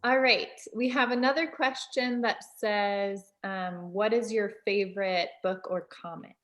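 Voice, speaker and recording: Woman, interview host, medium pitch, informal question-asking. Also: fairly even intonation, measured pace. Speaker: host relaying audience questions.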